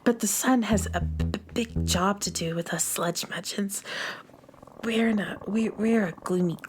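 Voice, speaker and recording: A woman's voice: medium pitch, moderate pace, a little hiss or hum, natural voice. A woman playing a shy, gloomy but kindly young alien schoolgirl in a children's audio drama, stammering and repeating her words. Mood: gloomy, hesitant. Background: music.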